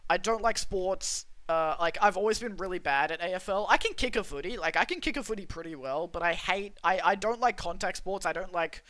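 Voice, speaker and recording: A man's voice: high voice, expressive, a little fast, hardly any pauses, sharp tone, dry recording. A young Australian man streaming, chatting casually with his viewers in a rambling, self-interrupting way. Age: young adult. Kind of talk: casual livestream chat reading chat.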